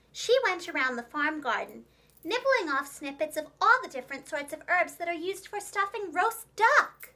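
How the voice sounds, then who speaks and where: A woman narrating a children's storybook in an expressive, clearly enunciated storyteller's manner for young listeners. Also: high voice. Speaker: preschool teacher and children's storyteller.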